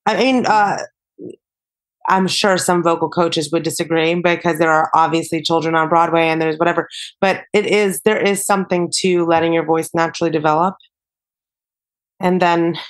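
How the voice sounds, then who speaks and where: A young woman with a somewhat low voice talks casually as a podcast guest. She rambles in a relaxed way, peppering her sentences with "like" and "you know". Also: moderate pace. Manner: chatty, many fillers like "like" and "you know". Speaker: podcast guest, singer-songwriter. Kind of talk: casual interview chat.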